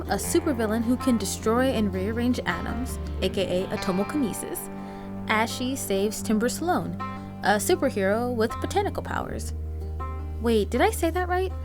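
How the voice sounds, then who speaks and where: Young woman hosting, music underneath. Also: on the high side. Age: young adult. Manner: chatty, playful, addresses listener. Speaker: radio program host. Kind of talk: scripted, conversational radio narration.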